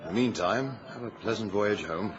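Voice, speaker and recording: An actor playing a polite, respectful colleague at a dig in a radio drama, speaking formally. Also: male voice, on the low side, moderate pace, lightly acted. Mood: cordial. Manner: courteous, formal. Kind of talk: period radio drama acting. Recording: muffled radio drama with clear background noise.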